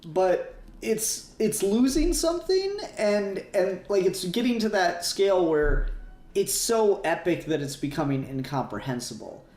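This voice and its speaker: Guy with a highish voice rambling emphatically on a fan podcast, swears a lot, roomy sound. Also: animated, a little slow, a little mellow. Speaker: podcast co-host. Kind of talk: casual fan discussion with swearing. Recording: roomy echo, faint music behind.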